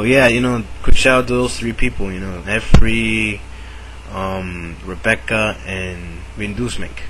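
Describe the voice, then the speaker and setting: A man talks casually to camera while sick with a cold, his voice raspy from a sore throat. He speaks in a low register and keeps stumbling through fillers and restarts. A faint hiss of noise sits behind him. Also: a bit bright, rough recording. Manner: halting, full of fillers and restarts. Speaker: video maker.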